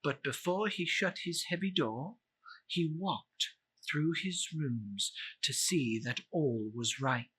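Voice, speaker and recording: A man's voice: fairly high pitch, pushed and bright, slightly rough. A man giving a theatrical, suspenseful reading of a Victorian ghost story, drawing out words and swelling with emphasis. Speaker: storyteller reciting a classic novel.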